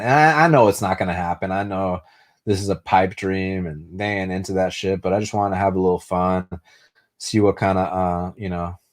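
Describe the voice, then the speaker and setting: A man's voice: deep voice, a little mellow. A young man hosting a casual live stream, answering viewer comments in a loose, profane way full of fillers and restarts. He grew up speaking Spanish first and was raised in the United States. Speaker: host.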